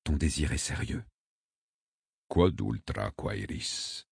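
Soft-spoken senior cardinal played by a male reader, deep, husky murmur, deferential and measured. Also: expressive, no room sound, clean studio sound, full character voice. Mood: quietly probing. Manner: hushed, gentle, respectful murmur. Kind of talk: audiobook character acting.

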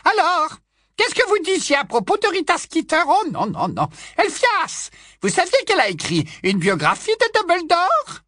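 A man voicing a nosy, cackling aunt over a hundred years old, with a shrill squawking voice that keeps repeating "no, no, no". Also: wildly swooping pitch, moderate pace, bright, forceful tone, dry recording, studio quality, exaggerated voice. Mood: gossipy. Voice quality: shrill, high squawk, endlessly repeating "non, non, non". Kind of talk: storybook reading.

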